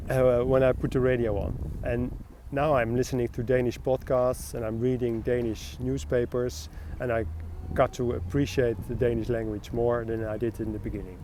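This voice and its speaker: A man's voice: mid-range voice, little pitch movement, moderate pace, noisy background. A young Dutch man with a Dutch accent, answering street interview questions in English with frequent hesitant fillers. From Netherlands.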